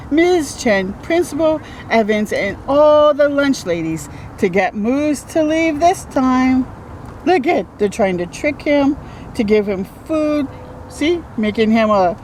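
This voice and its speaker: A woman reading a children's picture book aloud as a storytime host, expressive and chatting to young listeners. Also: a little high, slight background noise, rough recording. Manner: expressive, interjects comments and questions to young listeners.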